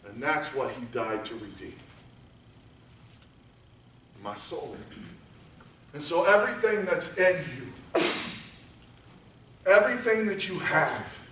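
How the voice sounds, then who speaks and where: A man preaching a sermon, moving from quiet teaching up to shouted exhortation. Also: on the high side, lively intonation, takes it slowly, pauses often, slight background noise. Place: church sanctuary. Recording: echoey church hall, voice from far off.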